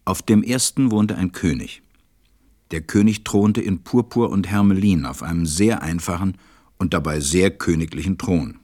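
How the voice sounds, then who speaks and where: A man playing a gentle, reflective storyteller, narrating a storybook radio play in a measured way. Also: deep voice.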